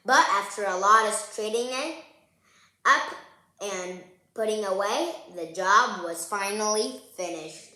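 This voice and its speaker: A woman's voice: mid-range voice, a little slow, sharp tone. A woman reading a children's storybook aloud and chatting informally, speaking directly to young viewers. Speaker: host of a children's read-aloud channel. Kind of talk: storybook read-aloud and casual chat to viewers. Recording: echoey room.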